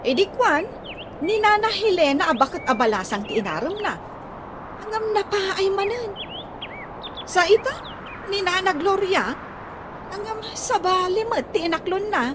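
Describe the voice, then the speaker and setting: An actress playing a young, fretful younger sister in a comedy radio drama, chatty and complaining. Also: female voice, very high-pitched, big pitch swings, unhurried, long pauses, heavily acted. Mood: exasperated. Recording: clearly noisy ambience behind.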